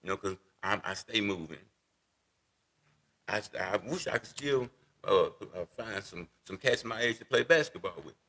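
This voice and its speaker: A man's voice: on the low side, lively intonation, normal speed, sharp tone. A man in his sixties, a guest in a casual interview, talking unhurriedly and repeating words as he thinks. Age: 60s.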